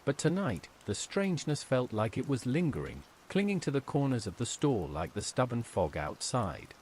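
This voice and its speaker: A man reads a scripted horror tale as its narrator. His delivery is measured and dramatic, with a medium-pitched voice that moves widely up and down. Soft rain runs behind him. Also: sing-song, slight background noise.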